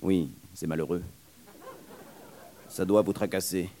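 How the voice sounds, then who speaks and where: A man voicing a shy young man who speaks low and haltingly. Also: fairly even intonation, warm tone. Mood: polite.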